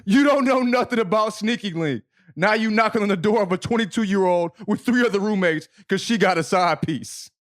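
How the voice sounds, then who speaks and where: An American man in his thirties co-hosting a podcast with casual comic banter, animated, slangy and profane. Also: sits high, a little fast. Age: 30s. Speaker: podcast co-host. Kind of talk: casual comedic banter and mock-dramatic reading.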